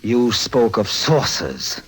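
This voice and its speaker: A man's voice: lowish voice, big pitch swings, drawn-out words, slightly rough, heavily acted, low-quality recording. An actor playing a terse, imperious, menacing rich man in a 1950s radio drama, firing curt questions and commands. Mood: cold, demanding.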